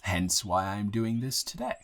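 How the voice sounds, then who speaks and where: A man playing an earnest, grateful young man shyly confessing love to a friend in an intimate, halting, improvised audio roleplay. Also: fairly low pitch, big pitch swings, full character voice. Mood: tender, grateful. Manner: halting, rambling, earnest, breaking into soft laughs.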